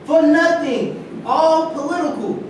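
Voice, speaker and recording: A man in a staged reading, playing an indignant, self-excusing football star who protests his treatment. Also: slow pace. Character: aggrieved, self-excusing male football star turned celebrity. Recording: echoey room, distant mic, noisy rumble.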